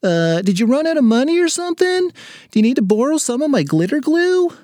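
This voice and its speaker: Guy doing a nosy little schoolkid, high voice, taunting, storybook. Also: big pitch swings, a little fast, darkish tone, no room sound, studio quality. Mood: teasing, curious.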